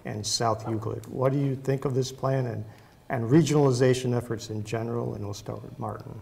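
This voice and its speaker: A man's voice: mid-range voice, steady tone, fairly quick, vocal fry, darkish tone, a little hiss or hum. A man moderating a forum, reading out questions and calling on speakers.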